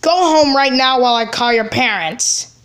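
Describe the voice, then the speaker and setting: A woman as a high-voiced, indignant cartoon brother. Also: fairly bright tone. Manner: halting, repeats phrases. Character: indignant, worried cartoon brother scolding two troublemakers.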